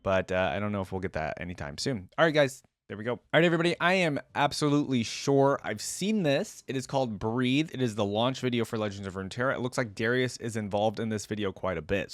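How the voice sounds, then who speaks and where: A man giving casual reaction commentary as the host of a video. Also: fairly low pitch.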